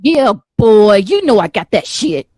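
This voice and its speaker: A woman's voice: mid-range voice, very animated, unhurried, warm tone, slightly rough, no room sound, clean studio sound. A woman playing a sassy, teasing nurse in an audio drama, bantering in casual slang. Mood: teasing, playful. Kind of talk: naturalistic audio drama acting.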